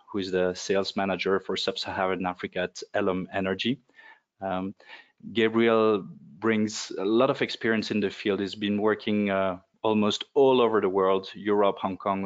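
A man in his forties moderating a webinar on a video call through a headset, with frequent filler sounds. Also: lowish voice. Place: indoor room, video call. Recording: dull lo-fi video call audio.

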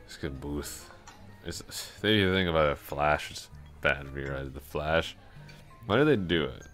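A man playing a drunk, awkwardly smitten office coworker in an intimate ASMR roleplay, rambling, hesitant and trailing off in a slurred, gravelly voice. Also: extremely low pitch, lively intonation, moderate pace, fairly bright tone, faint music behind. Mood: drunken rambling.

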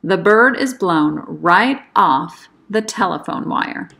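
A woman reading a children's picture book aloud as an expressive, deliberate narrator, putting dramatic emphasis on key words. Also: mid-range voice, creaky. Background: music behind the voice.

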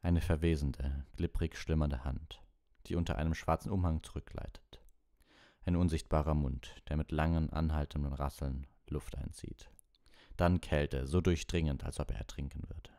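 A man reading a story as an audiobook narrator, in an expressive scripted style. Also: a bass voice, normal speed, no room sound, studio quality.

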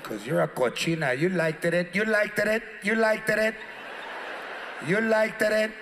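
A man on stage acting out a pushy drunk man who calls a woman in the middle of the night, with a low, slurred, wheedling voice. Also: fairly even intonation, unhurried, a bit bright, slight background noise.

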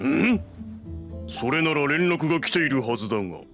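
A man voicing a sturdy gatekeeper in a game dub, speaking in gruff, formal guard speech over background music. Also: fairly high pitch, expressive, moderate pace, a bit bright. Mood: stern, formal. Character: sturdy male mansion gatekeeper, stern then flustered and pleading. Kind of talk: anime-style game dialogue acting.

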